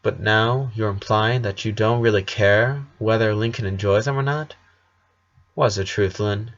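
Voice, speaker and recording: Storybook goth kid, played by a man: sullen, flat interrogator, lo-fi sound. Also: on the low side, unhurried. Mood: accusing. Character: gloomy, suspicious little goth girl. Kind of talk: storybook character voice.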